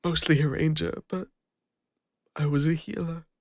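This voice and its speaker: A man with an English accent playing a gentle, bashful lone ranger and former healer in an intimate audio roleplay, speaking hesitantly. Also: a little high, steady tone, no room sound, clean studio sound, close to an everyday voice. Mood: vulnerable, regretful. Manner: hesitant, soft-hearted, halting. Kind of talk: intimate scripted audio roleplay addressed to the listener.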